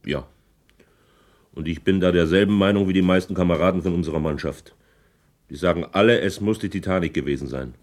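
An actor voices a humble male sailor testifying in a radio play, his voice extremely deep. He answers haltingly, with small stumbles. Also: darkish tone, natural voice. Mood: uncertain. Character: plain, hesitant male ship's crewman. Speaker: witness. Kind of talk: 1980s radio drama acting.

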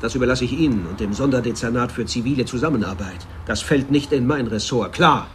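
Casting: male actor for radio-play police captain, medium pitch, formal and coolly in command, faint noise behind. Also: natural voice. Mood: guarded, insistent. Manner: formal, measured, insistent. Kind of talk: German radio drama acting.

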